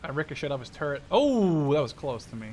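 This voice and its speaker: A young man chatting casually and excitably with a teammate as he plays a game, swearing freely. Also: on the high side, fast talker, a little hiss or hum. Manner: excitable, profane banter. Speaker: gamer playing in a squad. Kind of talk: casual gaming chat.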